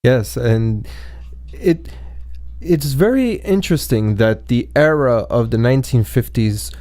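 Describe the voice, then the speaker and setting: A man hosting a podcast interview, speaking in formal wording with a halting delivery. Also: mid-range voice, animated, darkish tone, dry recording, clean studio sound.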